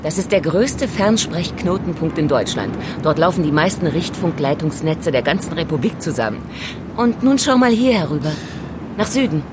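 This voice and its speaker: A woman's voice: low-pitched, lively intonation, moderate pace, background noise. A woman playing an elegant, composed young informant in an audio drama, explaining things in a teasing, didactic way. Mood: explaining, confident. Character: elegant, self-assured young woman, calm knowing informant. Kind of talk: German audio drama acting.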